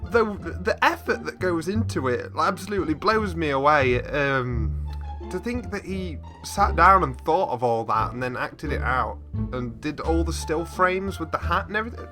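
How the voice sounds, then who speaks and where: A man hosts a reaction video in a casual, off-the-cuff way. His voice sits fairly high and swings widely in pitch. He laughs readily between remarks, with music audible behind him. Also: animated, normal speed. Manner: laughs often as he talks.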